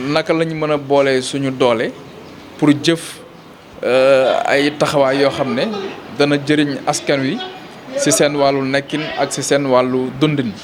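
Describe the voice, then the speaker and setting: A man with a Senegalese accent explains things in an interview as a local official, pausing mid-phrase and repeating words as he goes. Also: mid-range voice, a little hiss or hum.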